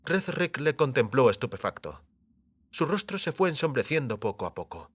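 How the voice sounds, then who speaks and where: A man's voice: mid-range voice, big pitch swings, moderate pace, husky, no room sound. A man narrating scripted fiction as an audiobook narrator, in a dramatic storytelling style. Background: soft music.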